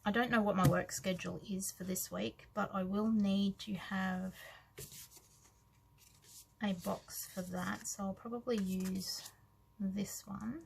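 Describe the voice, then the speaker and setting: Slow, croaky-voiced Australian woman. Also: medium pitch, steady tone, a little hiss or hum. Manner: soft-spoken, musing, trails off into quiet asides. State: scratchy, croaky voice. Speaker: planner vlogger. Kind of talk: casual planner walkthrough.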